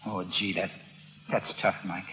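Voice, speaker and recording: An actor playing a loyal, slangy, sympathetic sidekick in 1930s radio drama style. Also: male voice, sits low, flat delivery, measured pace. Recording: dull, reverberant, noisy 1930s radio recording.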